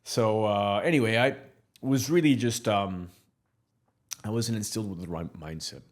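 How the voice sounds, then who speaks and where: A man's voice: fairly low pitch, moderate pace. A man in his thirties, born in Morocco, talking candidly and bluntly as a podcast guest, with frequent restarts and fillers. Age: 30s. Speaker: guest entrepreneur. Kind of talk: casual podcast interview.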